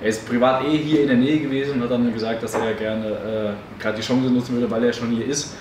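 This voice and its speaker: A man's voice: medium pitch, fairly even intonation, fast talker. A man in his thirties co-hosting, chatting casually with many fillers and restarts, in a hobby shop. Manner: rambling, many fillers and self-corrections. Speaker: co-host of a tabletop game channel. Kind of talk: casual chat introducing a battle report. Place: hobby game shop, indoors. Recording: echoey room, distant mic, faint hiss.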